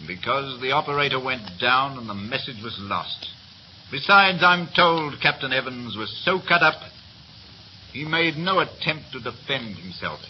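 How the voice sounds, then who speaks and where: An actor playing a steady, respectful ship's quartermaster in a 1930s radio play, measured and deferential. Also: male voice, medium pitch, lightly acted. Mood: grave storytelling. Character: steady, respectful male quartermaster, secretly a disgraced captain. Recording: muffled, noisy 1930s radio recording.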